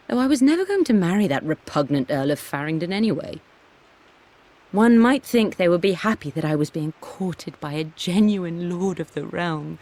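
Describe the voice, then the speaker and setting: A woman's voice: on the low side, a little mellow. An actress playing a young, strong-willed English noblewoman with a refined English accent, reading a personal journal in a video game. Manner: refined, wry. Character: aristocratic young Englishwoman, defiant, wry, in love.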